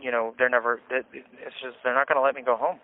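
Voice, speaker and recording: A man's voice: mid-range voice, flat delivery, fast talker. A man with an American accent talking casually on a phone call from jail, venting and explaining himself insistently. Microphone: telephone handset. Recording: tinny, band-limited jail phone line.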